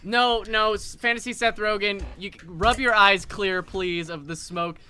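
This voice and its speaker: A man hosting an improvised comedy show, chatting in an animated, rambling, mock-exasperated way. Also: high voice, moderate pace, slight background noise.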